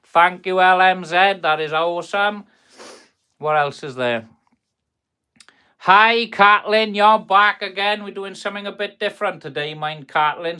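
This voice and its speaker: South Welsh man, friendly live-stream carpenter, rambling chat, clear crisp voice. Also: a little high, bright, forceful tone. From South Wales. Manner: friendly, rambling, calls viewers "boys" and "buddy". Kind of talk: chatty live stream, answering viewers' chat. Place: workshop.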